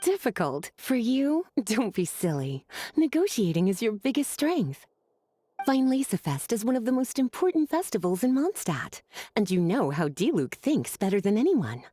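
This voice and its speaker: A woman's voice: fairly high pitch, big pitch swings, moderate pace, warm tone. A woman playing a teasing, persuasive scholar with a coaxing, playful manner in an anime game.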